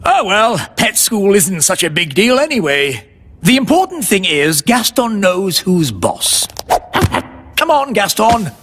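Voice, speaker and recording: A soft-hearted, blustering cartoon king. His husky voice leaps about in pitch and takes its time, genial and indecisive. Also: very animated, unhurried, heavily acted. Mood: easygoing, sheepish. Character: bumbling, soft-hearted fairy king, a middle-aged father. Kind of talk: children's cartoon acting.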